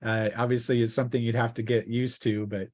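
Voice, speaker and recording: A man runs a tabletop roleplaying game as its game master. His mid-pitched voice is casual and improvised, often hesitating as he works out the narration. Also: level tone, brisk, a little mellow.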